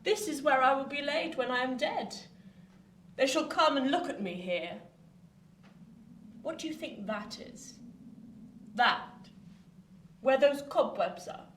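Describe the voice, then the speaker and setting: A bitter, commanding old lady of a Victorian radio drama, fairly high in pitch. She speaks with haughty theatricality. The sound is roomy and set back from the mic. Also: animated, slow pace, pauses often. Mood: morbid, brooding. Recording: roomy, distant, noisy home recording.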